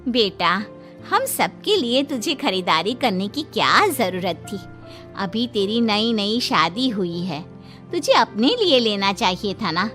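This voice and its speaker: Play a kindly middle-aged mother-in-law woman actor: take it slowly, with a bright forceful tone and motherly approval, for a cartoon family tale. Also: mid-range voice, big pitch swings, soft background music. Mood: indulgent. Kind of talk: Hindi cartoon story dialogue.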